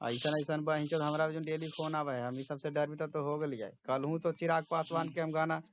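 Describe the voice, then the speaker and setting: A man's voice: a little high, steady tone, normal speed, slight background noise. A man with a Bihari accent in an informal phone call, talking bluntly and coarsely with swearing. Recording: phone call recording, close and dry.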